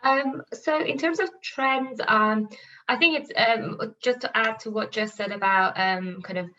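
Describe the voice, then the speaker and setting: A woman's voice: fairly high pitch, a little slow, sharp tone. A woman investor on a panel, adding analytical points after a hesitant start. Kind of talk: panel discussion answer. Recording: dull lo-fi audio.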